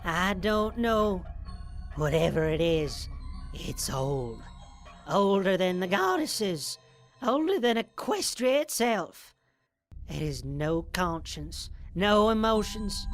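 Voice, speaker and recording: A woman voicing a grave, foreboding old grandmother pony in an audio drama, speaking slowly and deliberately. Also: lowish voice. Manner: slow, grave, deliberate delivery. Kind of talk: scripted audio drama of fan fiction. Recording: faint music underneath.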